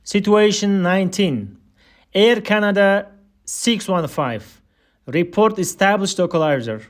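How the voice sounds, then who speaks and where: High-pitched man, slow deliberate instructor. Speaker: aviation English instructor, air traffic controller. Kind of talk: scripted listening drill, radio-style ATC phraseology.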